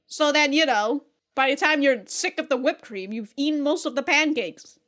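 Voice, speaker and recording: A woman's voice: high-pitched, moderate pace, fairly bright tone. A woman giving casual, slangy reaction commentary as a video host, exclaiming and swearing freely.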